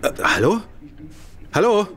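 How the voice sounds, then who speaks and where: An actor playing a composed, sharp-witted gentleman detective in a radio play. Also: high-pitched, big pitch swings, measured pace, full character voice. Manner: composed, quietly assured. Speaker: amateur detective, lead. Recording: noisy radio play recording.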